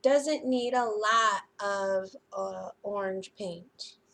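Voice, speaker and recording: A woman hosting a children's story time, reading and explaining crafts in an expressive, sing-song manner for young listeners. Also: medium pitch, extremely slow, vocal fry. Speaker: library story time host. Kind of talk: children's picture book reading and craft demonstration.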